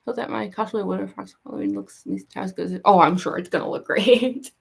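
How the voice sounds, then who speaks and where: A young woman with a gruff, raspy voice and a goofy laugh, chatting casually with viewers on a livestream, rambling with lots of fillers. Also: mid-range voice, fast talker. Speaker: cosplay livestreamer.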